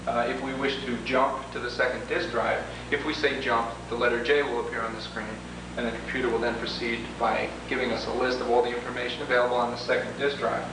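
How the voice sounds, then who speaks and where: A man's voice: medium pitch, flat delivery, fast. Echoey, distant man giving step-by-step instructions. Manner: explanatory, step-by-step. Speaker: presenter demonstrating software. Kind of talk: instructional demo narration. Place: reverberant indoor room, away from mic. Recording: very echoey, distant, noisy 1980s tape.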